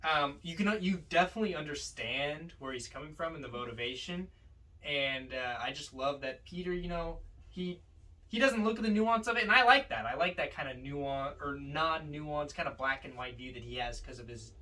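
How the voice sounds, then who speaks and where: Male film podcaster, bright forceful tone, casual and enthusiastic, recorded at some distance. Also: a little high, normal speed. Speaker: podcast co-host. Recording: roomy, distant from the mic.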